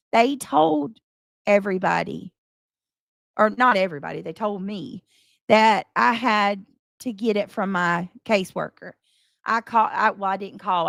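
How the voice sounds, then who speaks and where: Exasperated woman with a creaky, dry-sounding voice. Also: mid-range voice, a bit bright, no echo. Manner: exasperated, defensive, emphatic; coarse, swearing. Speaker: livestream host addressing subscribers and moderators. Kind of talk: casual unscripted livestream monologue.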